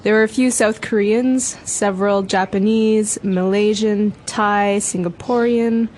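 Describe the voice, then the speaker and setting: A woman reading a scripted student dialogue in a measured, slightly doubtful way. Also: mid-range voice, fairly even intonation, a little mellow.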